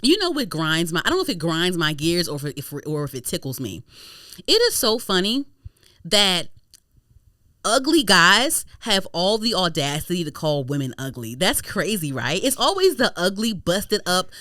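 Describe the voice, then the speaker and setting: A woman hosting a podcast in a casual gossip chat, animated, slangy and profane, often repeating herself for emphasis. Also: on the low side, normal speed, dry recording, clean studio sound. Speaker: podcast host.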